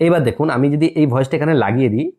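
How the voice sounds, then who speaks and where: Very fast-talking male tutorial presenter. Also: mid-range voice, warm tone. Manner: instructional, often asks and answers own questions. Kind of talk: step-by-step screen tutorial narration.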